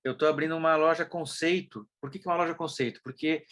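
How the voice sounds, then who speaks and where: A Brazilian man with a southern Brazilian accent, a guest telling stories casually in an interview, lively and full of fillers. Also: fairly high pitch, fast. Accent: southern Brazilian Portuguese. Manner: animated storyteller, mimics people, many fillers like né. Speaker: interview guest, business consultant.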